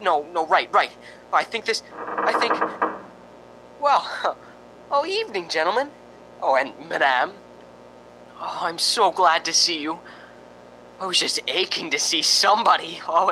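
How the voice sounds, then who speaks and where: A man's voice: pushed and bright, heavily acted, low-quality recording. A man playing a frightened young radio host unraveling into madness, jittery and theatrical in a radio drama. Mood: panicked, then lonely, anxious. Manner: theatrical, jittery, stammering and giggling. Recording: faint rumbling background noise.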